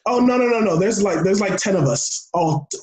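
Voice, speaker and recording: A young American man talking casually as an interview guest, excitable and rambling, often restarting his phrases. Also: sits high, fairly quick, crowd noise, low-quality recording. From Florida, United States. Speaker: podcast guest.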